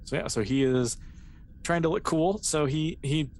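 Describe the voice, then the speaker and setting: A man's voice: a little high, moderate pace, a little mellow. A man narrating a tabletop role-playing game as game master, in an informal, hesitant, piecemeal way. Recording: clear background noise.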